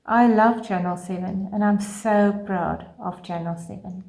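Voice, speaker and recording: A woman tells her listener testimony with heartfelt emotion, and her voice sits at an everyday middle pitch. The room around her echoes noticeably. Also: steady tone, unhurried, vocal fry, warm tone. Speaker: radio listener giving a testimonial.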